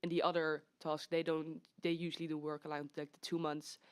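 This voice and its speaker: Young dutch woman on a podcast, a bit low voice, teasing and laughing a lot. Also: flat delivery, brisk, clear, resonant tone. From Netherlands. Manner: joking, laughs often. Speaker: podcast guest, conference staff. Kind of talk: casual chat.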